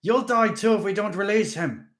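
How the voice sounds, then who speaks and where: A man reading aloud, voicing a desperate male vampire warrior who shouts urgently while straining to hold on. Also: high-pitched, expressive, normal speed, pitched above the performer's own voice.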